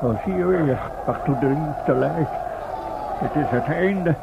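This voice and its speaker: An actor playing a fussy, proper professor with a fretful, indignant manner in a radio play. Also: male voice, a little high, slow pace, creaky, over a noisy crowd. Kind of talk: old radio play acting. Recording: very noisy, dull old radio recording.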